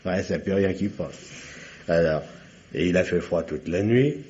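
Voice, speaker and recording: A man's voice: low-pitched, a little slow, a little mellow. Chatty, folksy doorman in muffled old recording. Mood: grumbling. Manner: rambling, folksy, full of interjections. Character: chatty, folksy male office doorman, deferential yet cheeky. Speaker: doorman and receptionist. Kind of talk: old French radio drama acting. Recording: dull, noisy vintage radio recording.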